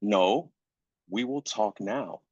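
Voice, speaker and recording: A man's voice: lowish voice, slow pace, darkish tone, no echo. A man playing a weary, grieving husband and father in a screenplay reading, insistent yet supportive. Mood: insistent, frustrated. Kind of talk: live staged screenplay reading. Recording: dull, lo-fi sound.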